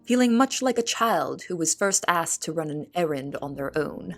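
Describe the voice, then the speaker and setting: A woman playing an earnest young female cook in an audio drama, speaking in a formal, measured, articulate way. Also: sits low, lively intonation. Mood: wistful, uneasy. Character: Anne Marie, earnest young female cook writing testimony. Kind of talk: audio drama, formal letter narration and dialogue. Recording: music bed under the voice. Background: instrumental music.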